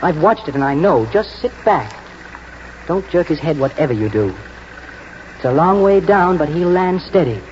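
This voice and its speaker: A man playing an earnest, protective young horse trainer in a 1940s radio drama, urgent and halting as he instructs. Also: fairly high pitch, lively intonation, close to an everyday voice. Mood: coaching, insistent. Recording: muffled, noisy old 1940s radio broadcast.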